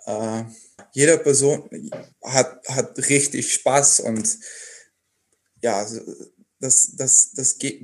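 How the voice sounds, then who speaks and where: A man's voice: mid-range voice, a little mellow. A young man, a sportsman guest in a podcast interview, speaking hesitantly and searching for words. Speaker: athlete guest.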